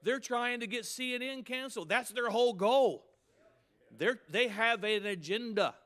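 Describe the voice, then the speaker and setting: Fifties male pastor, emphatic pulpit delivery, climbs high on key points, quick asides. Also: fairly bright tone. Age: 50s. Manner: emphatic preaching with rising, punchy peaks and quick asides. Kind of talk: sermon to pastors.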